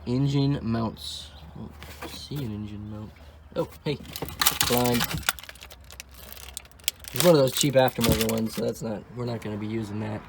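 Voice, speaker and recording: A young man talking casually while he works over a cheap car, thinking out loud and tossing in sarcastic jokes. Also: mid-range voice, steady tone, normal speed, long pauses, heavy background noise. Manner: wisecracking, sarcastic asides; offhand, thinks aloud while working on a car. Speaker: car vlogger. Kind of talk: casual vlog commentary.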